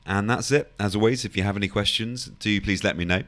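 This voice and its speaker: A man with a deep, low-set voice that sounds croaky and a little hoarse today. He explains things calmly and steadily as a podcast host, often adding "you know" and restarting phrases. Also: brisk. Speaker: podcast host and anxiety coach. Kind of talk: solo podcast talk.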